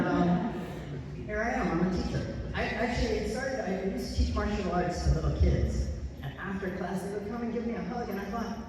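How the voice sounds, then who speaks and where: A man's voice: sits high, background noise. A middle-aged man from Los Angeles County introducing himself as a graphic design teacher, chatty and self-deprecating with light laughs. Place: school hall or large room. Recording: echoey hall, recorded from far off.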